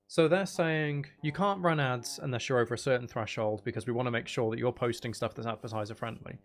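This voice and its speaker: A soft-spoken man chatting casually on a livestream as an ASMR creator, explaining things in a candid, aggrieved way. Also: medium pitch, fairly quick. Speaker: ASMR content creator and streamer. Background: music in the background.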